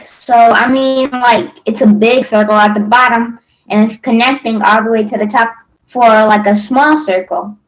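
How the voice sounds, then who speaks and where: Young voice on a video call, slightly high, halting and unsure. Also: female voice, steady tone, low-quality recording. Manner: hesitant, searching for words. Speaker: student. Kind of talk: video class participant.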